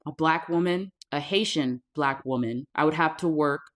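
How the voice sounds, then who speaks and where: A woman in her thirties from South Florida, a safety coach telling her personal story to the camera in an earnest, deliberate way and slowing down to stress key words. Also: low-pitched. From South Florida, USA.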